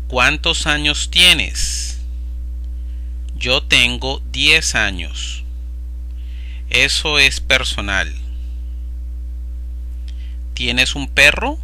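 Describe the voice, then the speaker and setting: Slow, bright-voiced male Spanish tutor. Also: mid-range voice, lively intonation. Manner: slow, carefully enunciated phrase by phrase. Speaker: Spanish teacher. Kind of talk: slow read-aloud vocabulary drill. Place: voice-over over slides.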